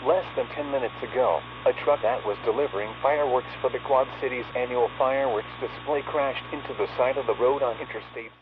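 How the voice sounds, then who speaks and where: A man's voice: medium pitch, a little fast, few pauses, lightly acted. Tinny radio emergency alert announcer voiced by a man. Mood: impersonal, urgent. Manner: formal, impersonal. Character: male emergency alert announcer voice, flat and official. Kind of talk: scripted emergency alert broadcast reading. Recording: noisy tinny radio-like narrow band.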